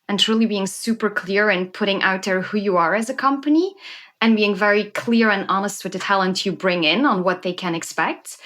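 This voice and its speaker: A woman's voice: mid-range voice, fairly bright tone. A woman in her thirties from Belgium with a Belgian accent, a guest telling her story with enthusiasm in a conversational interview.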